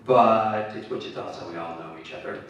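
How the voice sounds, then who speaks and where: A man moderating a campus candidate forum, halting with many fillers and restarts. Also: deep voice, dull audio, background noise. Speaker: panel moderator. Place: large echoey hall with audience. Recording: echoey hall, speaker far from mic.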